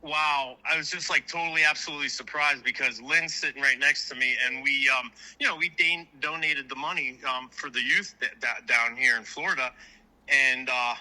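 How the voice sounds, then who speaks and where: A man from southwestern Pennsylvania talking on the phone, stumbling over his words and restarting his sentences. His tone is very bright and edgy. He speaks in the middle range of pitch for a man. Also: poor audio. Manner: halting, stammering, many fillers and restarts. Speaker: caller, giveaway winner. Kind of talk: phone conversation.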